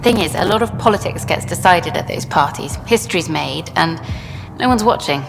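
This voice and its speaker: An actress playing a flirtatious, worldly journalist in a video game, talking in an intimate, teasing way. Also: female voice, fairly low pitch, expressive, normal speed, creaky. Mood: confiding. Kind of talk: video game dialogue acting. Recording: hall reverb with music behind.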